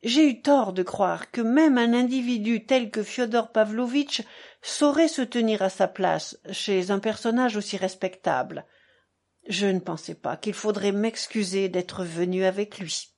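Indignant gentleman read by a woman, audiobook: stiff, formal, apologetic, slow delivery. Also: mid-range voice. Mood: embarrassed indignation.

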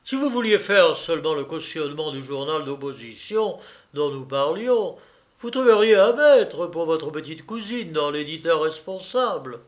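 A man's voice: a little high, animated, moderate pace, lightly acted. A man voicing a scheming provincial lawyer in a storybook reading, insistent and coaxing. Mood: persuasive.

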